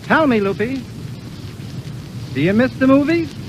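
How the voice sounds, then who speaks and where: A man's voice: lively intonation, measured pace. A man, an orchestra conductor, asking questions in a scripted 1930s radio interview. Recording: noisy, rumbling 1932 radio recording.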